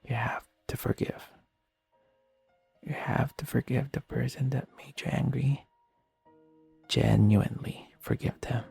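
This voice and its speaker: Gentle, consoling male best friend, acted by a man for intimate audio roleplay: mellow, creaky, soft and earnest, music underneath. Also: medium pitch, fairly even intonation, full character voice. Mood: calm, consoling. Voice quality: soft, breathy, husky. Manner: soothing, earnest, unhurried, with long pauses. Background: soft instrumental music.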